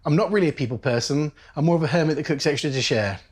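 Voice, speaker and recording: A man's voice: on the high side, racing. A British man talking to camera, blunt and opinionated. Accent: British English. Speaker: dinner party guest. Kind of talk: casual interview to camera.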